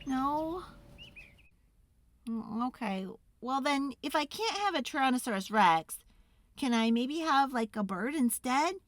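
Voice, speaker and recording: A woman voicing an eager, pleading young child in an excitable, dramatic storybook read-aloud. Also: sits high, no room sound. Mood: hopeful. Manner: wheedling, excitable, dramatic, drawn-out emphasis.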